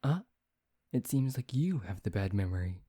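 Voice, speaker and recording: A man's voice: lowish voice, very animated, normal speed, mellow, breathy edge, dry recording, studio quality. A man voicing a teasing, seductive male vampire in an intimate ASMR roleplay, with formal wording. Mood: exasperated, bargaining. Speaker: voice actor.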